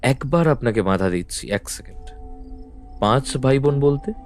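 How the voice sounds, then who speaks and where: A man playing a calm, probing detective who questions people in a measured, firm way. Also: medium pitch, lightly acted. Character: calm probing male detective, middle-aged. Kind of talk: audio drama acting. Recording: faint background music.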